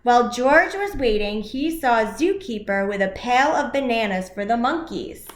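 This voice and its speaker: A woman reading a children's storybook aloud in simple, deliberate phrases, as an educator addressing young listeners. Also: a little high, expressive, echoey room.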